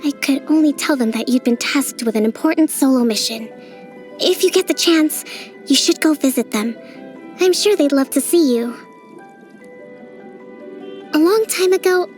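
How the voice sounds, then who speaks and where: An actress voicing a young female island priestess and military strategist in a game, speaking in a gentle, composed, softly playful way. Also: high-pitched, normal speed, pauses often, soft background music, close to an everyday voice. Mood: reflective. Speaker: game character. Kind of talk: game voice lines.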